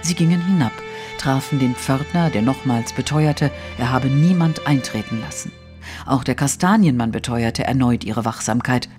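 A man narrating a detective audio play in a measured, literary, suspenseful way. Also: mid-range voice, over music, natural voice. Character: storytelling narrator of a mystery.

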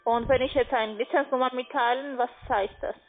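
A woman's voice: high-pitched, steady tone, brisk, faint music behind. A woman call centre agent, not a native German speaker, asking sales questions on the phone. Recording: tinny phone line.

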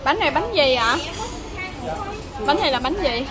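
A woman's voice: sits high, animated, normal speed, very noisy. A woman, chatting through a casual travel vlog as she walks around a hotel breakfast buffet, with plenty of fillers. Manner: chatty, narrating while walking, many fillers. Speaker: travel vlogger. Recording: loud music under voice. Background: loud background music.

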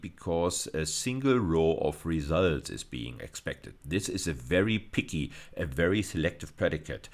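Very deep-voiced middle-aged male lecturer. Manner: explanatory, repeats and rephrases key phrases. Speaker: university lecturer. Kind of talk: video lecture with explanations of slides.

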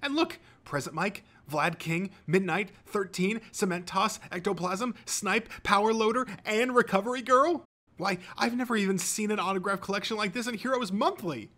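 A man voicing an excitable, indignant teenage boy hero fanboy in an audiobook, gushing with emphatic intensity. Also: high voice, brisk, hardly any pauses, voice pushed up, full character voice.

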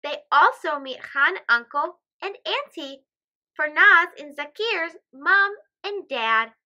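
A woman with a high, bright voice reads a storybook aloud. Her delivery is careful and expressive, with lively pitch movement meant for young listeners. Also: slow pace, dull audio. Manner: careful, expressive, child-directed. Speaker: children's storybook reader.

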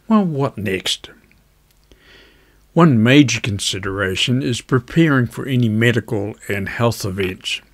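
A man in his seventies giving an instructional talk to camera in a measured, conversational, avuncular way. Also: lowish voice. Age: 70s. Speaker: video host, ham radio hobbyist. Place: indoors at a desk, virtual backdrop.

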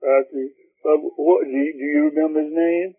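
A man on the phone, answering in a halting, puzzled, terse way. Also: a little high, sing-song, gravelly, no echo. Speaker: person answering a phone call. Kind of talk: hesitant phone conversation. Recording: tinny muffled phone line.

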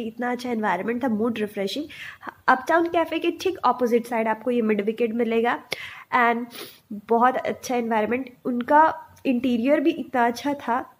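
A woman in her twenties narrating a casual food vlog, chatty and enthusiastic, mixing in English words. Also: a little high. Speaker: food vlogger.

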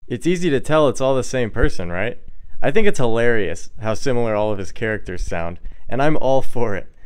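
A man's voice: medium pitch, lively intonation, a little fast, smooth. A man hosting a video with scripted narration. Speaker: video host.

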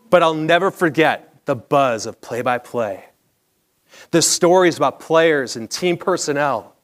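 An American man in his forties reads a scripted personal story on stage in a measured, polished broadcaster-like delivery. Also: on the high side. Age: 40s. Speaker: storyteller, former baseball broadcaster. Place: theatre stage, indoors, at a lectern.

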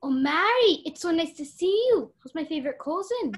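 A woman acting a snobbish, high-strung married lady in a mystery radio play, emotional and imperious. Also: animated, moderate pace. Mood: gushing.

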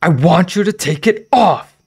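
A man voicing a frightened young girl trapped in a monster mask, with a raspy, shrill, desperate voice. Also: normal speed, a little mellow, lightly acted. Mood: shouting.

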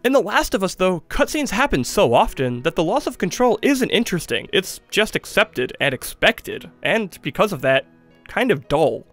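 A man's voice: high-pitched, very animated, normal speed, fairly bright tone. A man, giving emphatic, conversational scripted commentary as a video essayist in a studio. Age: 20s. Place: small studio with acoustic foam. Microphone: studio microphone. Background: music.

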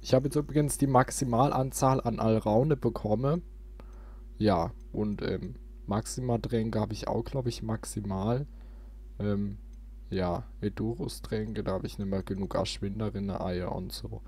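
Low-voiced man commentating a game. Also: steady tone, unhurried, a little mellow, breathy edge. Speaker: let's play host. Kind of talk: casual gameplay commentary.

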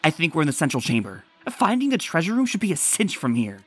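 A woman's voice: deep voice, wildly swooping pitch, fast talker, over music. A woman reading a comic aloud and voicing the heroic adventurer in an earnest, storytelling way. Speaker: comic dub reader.

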